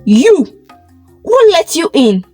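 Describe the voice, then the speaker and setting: A cruel, bullying stepmother from a folktale, played by a woman storyteller. She snaps and scolds in a high, very animated voice. Faint music plays underneath. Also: slow, exaggerated voice. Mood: outraged. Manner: snapping, scolding.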